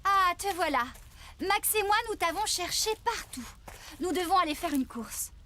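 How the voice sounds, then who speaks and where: A woman playing a friendly, slightly bossy young woman farmer in a children's cartoon dub, speaking in a motherly, clear way. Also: extremely high pitch, expressive, normal speed, sharp tone, a little hiss or hum, exaggerated voice. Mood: cheerful. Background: music.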